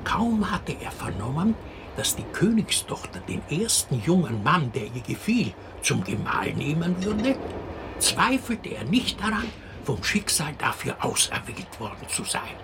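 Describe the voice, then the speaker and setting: An actor playing a wry, expressive fairy-tale storyteller in a radio drama. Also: male voice, fairly high pitch, unhurried, gravelly, noisy background, heavily acted. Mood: wry storytelling. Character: wry, unhurried male teller of an absurd fairy tale.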